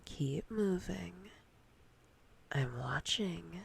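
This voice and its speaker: A woman playing a domineering, teasing female boss in a whispered ASMR roleplay, breathy and husky, speaking deliberately with seductive command. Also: fairly low pitch, lively intonation, a little hiss or hum, heavily acted. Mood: stern, controlling.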